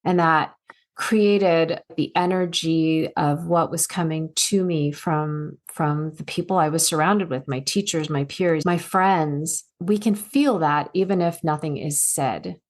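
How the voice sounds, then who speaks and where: A soft-spoken woman speaking as a guest coach in a casual podcast conversation, telling stories with little acted asides. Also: low-pitched, a little slow.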